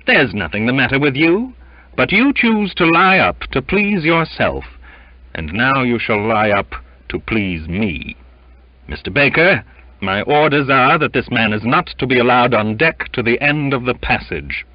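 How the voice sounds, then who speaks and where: A man playing a stern ship's captain in a storybook, giving severe, sharp and sarcastic orders. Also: medium pitch, very animated, measured pace, dry recording, highs cut off, voice pushed up.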